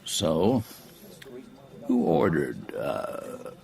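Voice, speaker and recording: Middle-aged politician, bass-leaning, rough fry, shrewd, folksy, deliberate, suspicious, audio drama. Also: male voice, wildly swooping pitch, darkish tone, exaggerated voice. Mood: suspicious, probing.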